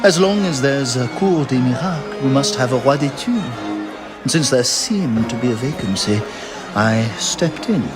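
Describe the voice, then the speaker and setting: An actor playing a sly, flamboyant French aristocrat in a game cutscene, speaking in a florid, amused, theatrical way. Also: male voice, medium pitch, lively intonation, moderate pace, natural voice. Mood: smug, playful. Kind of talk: video game cutscene acting. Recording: loud music behind the voice.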